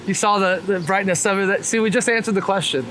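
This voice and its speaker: A man's voice: sits high, fast talker, fairly bright tone. A man chatting casually and candidly in slangy, sweary talk while out walking on a city street. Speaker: guest, street photographer and former BMX vlogger. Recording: noisy city street with traffic. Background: clear traffic and street noise.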